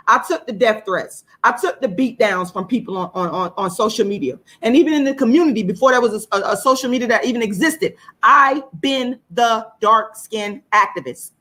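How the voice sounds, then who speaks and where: Casting: female activist guest, hoarse but fairly high voice, emphatic delivery that hammers repeated phrases. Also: fairly bright tone. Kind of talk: impassioned monologue in an interview.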